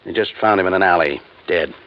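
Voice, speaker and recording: An actor playing a matter-of-fact, skeptical American homicide lieutenant in a 1950s radio detective drama. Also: male voice, sits low, fast talker, lightly acted. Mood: businesslike. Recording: muffled, noisy 1950s radio recording.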